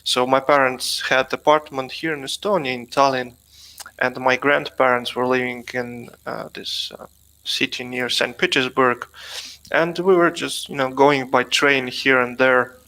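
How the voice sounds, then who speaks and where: Male guest on a call, crisp bright tone, laid-back, dry wit. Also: mid-range voice, a little slow, poor audio. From Russia. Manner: relaxed, dry humor, hesitant fillers. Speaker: guest, plugin developer. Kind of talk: casual video-call chat. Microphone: video call.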